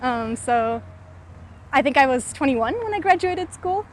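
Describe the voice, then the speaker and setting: A young woman from California answers interview questions while walking outdoors. Her voice sits high, and her speech is loose and relaxed, full of "like" and "um." Faint city noise hums under her. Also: unhurried. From California, USA. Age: 20s. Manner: frequent "like" and "um" fillers, thoughtful. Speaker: startup founder interviewee.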